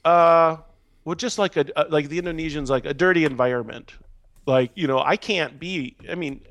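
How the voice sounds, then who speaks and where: Podcast guest: American man, slightly higher voice, dry sound, loose and rambling conversational delivery. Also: normal speed, fairly bright tone, no room sound. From Minnesota, United States. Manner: rambling, peppers talk with "you know". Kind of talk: casual conversational interview.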